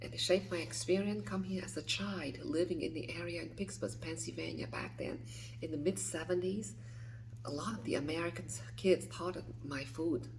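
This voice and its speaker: A woman in her fifties from Vietnam, speaking, answering a list of questions softly and hesitantly, often dropping to a whisper. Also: on the low side, normal speed, vocal fry, slight background noise, rough recording. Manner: soft, hesitant, with frequent restarts and whispered phrases. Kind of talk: reading and answering interview questions.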